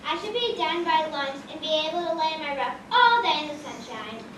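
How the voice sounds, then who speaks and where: A woman's voice: sits high, lively intonation, a little fast. A woman voicing an easygoing, confident young mermaid puppet in a children's puppet show, breezy and self-assured. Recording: echoey hall, distant mic, noisy.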